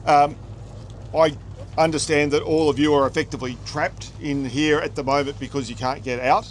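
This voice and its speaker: An Australian man with an ordinary mid-range voice speaks in a plain, measured way as he explains at an outdoor briefing. Clear vehicle and outdoor noise surrounds him. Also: a little high, fairly even intonation. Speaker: police inspector. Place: outdoors, near firefighting operations. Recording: outdoor recording with loud background noise.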